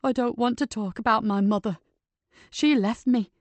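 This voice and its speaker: A woman's voice: on the high side, level tone, slow, a little mellow, no echo. A woman voicing a grieving male ex-convict, talking strained and close to tears in an audiobook. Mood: bitter. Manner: strained, pleading and near tears. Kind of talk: audiobook character voice.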